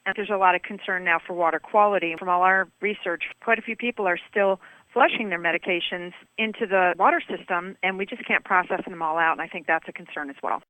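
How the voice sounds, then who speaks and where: A woman's voice: fairly low pitch, sharp tone, no echo, low-quality recording. A woman with an American accent, an executive director explaining things in a radio interview.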